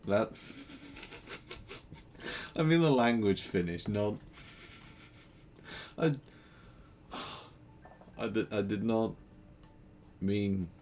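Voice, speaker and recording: A man's voice: fairly low pitch, animated, drawn-out words, pauses often, a little mellow, a little hiss or hum. A young man from the Netherlands with a Dutch accent, chatting playfully and rambling while streaming a game, laughing often. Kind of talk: casual gaming stream chat.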